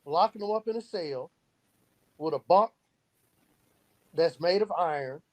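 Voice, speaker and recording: A man's voice: a little high, animated, unhurried. A man speaking as an interview guest, explaining earnestly and posing rhetorical questions that he then answers himself. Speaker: founder of a youth outreach organization, podcast guest.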